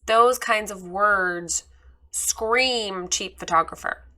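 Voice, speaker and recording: A woman with a slightly hoarse voice after losing it, giving a candid, chatty review of a photographer's website with many fillers. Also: mid-range voice, slow pace, pushed and bright. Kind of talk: casual screen-share critique.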